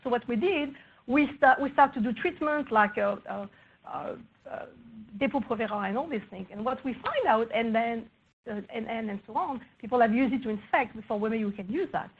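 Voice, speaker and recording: Soft-spoken woman scientist, halting delivery. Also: expressive, husky, phone-quality sound. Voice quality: soft, low-volume voice. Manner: hesitant, many fillers and restarts. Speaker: research scientist presenting at a workshop. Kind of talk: scientific talk presenting slides.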